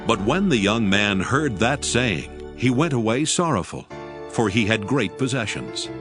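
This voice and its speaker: A man's voice: lowish voice, lively intonation, measured pace, music in the background. A man narrating scripture from a script as the narrator of a dramatized reading.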